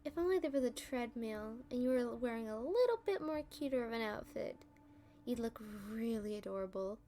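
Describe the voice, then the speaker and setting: A woman playing a playful, smug female supervillain in an ASMR roleplay, teasing and taunting with drawn-out phrasing. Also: a little high, animated, mellow, close to an everyday voice.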